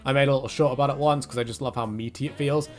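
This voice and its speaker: A man's voice: medium pitch, steady tone, very fast, soft background music. A man casually answering viewer questions in a rambling way, with many fillers and restarts.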